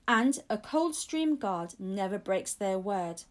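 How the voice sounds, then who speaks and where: A proud, courteous guardsman from a children's storybook, voiced by a woman. He speaks in a formal, measured way and reassures his young listeners. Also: medium pitch, expressive. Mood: reassuring. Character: courteous, reassuring male royal guard lieutenant.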